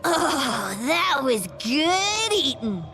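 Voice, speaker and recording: A woman's voice: sits high, big pitch swings, drawn-out words, sharp tone, slight background noise, exaggerated voice. A woman voicing a cheeky, gluttonous little plush toy creature in a video game. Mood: contented, playful. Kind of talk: English video game voice acting. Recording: light music behind the voice.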